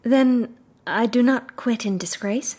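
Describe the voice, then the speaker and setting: Poised, high-toned lady detective. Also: a little mellow. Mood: composed, wry. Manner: poised, measured, literate. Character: composed, sharp-witted young lady detective narrating her case. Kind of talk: period radio drama acting and narration.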